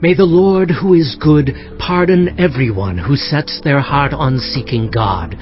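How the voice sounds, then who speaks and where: An actor playing a solemn, fervent king of Judah in a dramatized Bible audiobook, proclaiming in drawn-out, exhorting phrases. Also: mid-range voice, natural voice. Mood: earnest pleading. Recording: slightly dull sound with music behind. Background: music.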